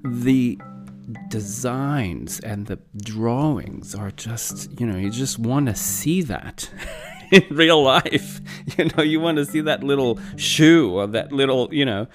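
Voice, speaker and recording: A man's voice: medium pitch, animated, slow pace, soft background music. A man in his forties, not a native English speaker, hosting an interview in an enthusiastic, rambling way with many fillers. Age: 40s. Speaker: podcast host. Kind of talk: casual interview.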